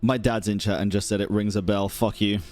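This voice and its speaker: A man's voice: lowish voice, little pitch movement, fairly quick, darkish tone. A young man livestreaming in a casual, joking, sweary style, reacting and chatting to his viewers. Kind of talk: casual reaction commentary to chat. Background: game music.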